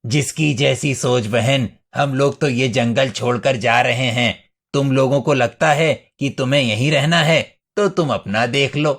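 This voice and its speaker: A man's voice: medium pitch, measured pace, fairly bright tone, slightly rough. A man voicing a grown male crow who is blunt and gloomy in a cartoon story. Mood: dismissive. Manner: blunt, familiar.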